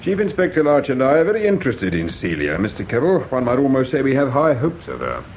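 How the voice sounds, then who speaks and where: An actor playing a shrewd, courteous English police inspector in a radio drama, speaking in a deliberate, musing manner. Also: male voice, medium pitch, wildly swooping pitch. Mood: measured, courteous. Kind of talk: British radio drama acting. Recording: dull old radio recording, faint hiss.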